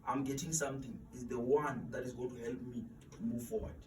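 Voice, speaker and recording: A man's voice: medium pitch, steady tone, a little fast. Earnest young man in an echoey room. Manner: earnest, repeats words when searching for them. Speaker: interview guest, student mentor. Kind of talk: motivational interview talk. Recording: echoey room, distant mic, faint noise.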